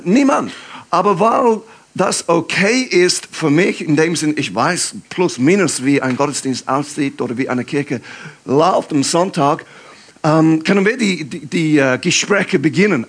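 A man from England, a guest pastor, preaching in German with an English accent, lively and halting with fillers. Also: fairly high pitch, breathy edge. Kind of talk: sermon.

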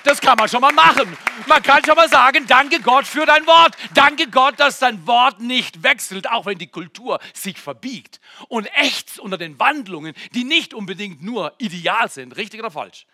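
Dynamic German-speaking preacher man, bright. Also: extremely high pitch, expressive, moderate pace, hardly any pauses, no room sound, studio quality. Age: 40s. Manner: dynamic preaching, swinging from loud exclamations to hushed near-whispers; addresses the congregation directly with jokes and questions. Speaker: pastor. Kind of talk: sermon. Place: church.

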